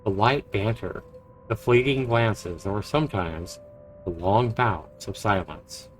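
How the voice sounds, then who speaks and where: An actor playing a brooding, guilt-ridden but dryly charming man in a visual novel, measured and reflective. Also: on the low side, poor audio. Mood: wistful. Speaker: narrating protagonist. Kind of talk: visual novel voice acting, introspective narration and dialogue. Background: music.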